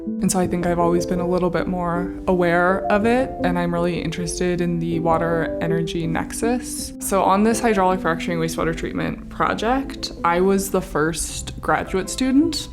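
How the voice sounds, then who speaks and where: Low-pitched young American woman. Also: normal speed, loud music behind the voice. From New Mexico, United States. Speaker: graduating PhD student. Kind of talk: casual interview talk.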